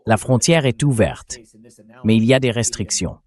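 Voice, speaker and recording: A man with a fairly low voice delivers a translated interview voice-over. He explains political analysis point by point, in a measured and orderly manner. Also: expressive, a little mellow.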